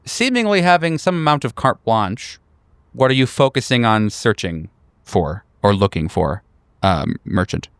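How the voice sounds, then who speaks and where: A man's voice: lowish voice, expressive, a little slow, clear, resonant tone, dry recording, clean studio sound. A man narrating a tabletop horror game as its game master.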